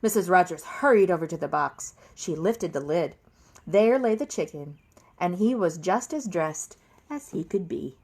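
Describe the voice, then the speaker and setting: A woman reading a children's story aloud in an expressive storytelling style. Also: fairly low pitch, normal speed, slightly rough. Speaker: storybook reader.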